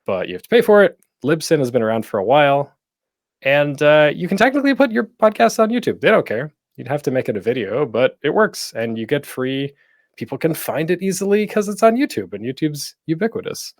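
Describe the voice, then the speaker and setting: A man's voice: on the high side, big pitch swings, fairly quick, no echo, clean studio sound. A man presenting an instructional panel in a casual, informal way, often breaking off into asides. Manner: informal, self-interrupting, tangential asides.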